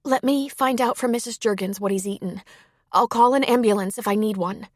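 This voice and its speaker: A woman's voice: a little high, brisk, no room sound, clean studio sound, voice pushed up, close to an everyday voice. A woman voicing an anxious, tender-hearted young woman who speaks with urgent, earnest worry in an audiobook. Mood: worried. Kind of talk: audiobook character dialogue.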